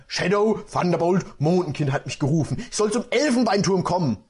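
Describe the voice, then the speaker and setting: A man reading aloud and voicing a distressed young heroine in a high, strained, emotional voice. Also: animated, brisk, a little mellow, breathy edge. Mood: urgent.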